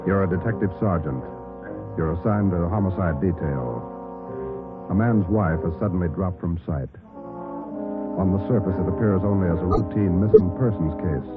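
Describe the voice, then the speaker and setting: A man reading a scripted 1940s radio drama introduction in a stern, clipped, measured way. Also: sits low. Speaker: radio announcer. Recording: muffled old radio broadcast recording. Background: loud brass music.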